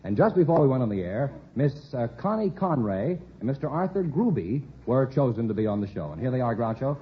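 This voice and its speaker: A man's voice: mid-range voice, big pitch swings, a little fast, a little hiss or hum. A man working as a radio show announcer in a mid-century broadcast style. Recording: muffled old radio recording.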